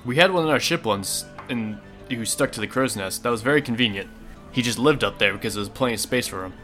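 A man playing a friendly, frank sailor in a tabletop game, speaking casually and politely with halting phrases. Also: medium pitch, pushed and bright, faint music behind, lightly acted. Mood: easygoing, explanatory.

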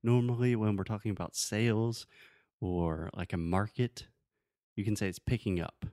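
A man co-hosting a podcast, teaching English phrases in a relaxed, teasing way, with patient examples. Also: lowish voice, moderate pace, no echo, clean studio sound. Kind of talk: casual teaching chat.

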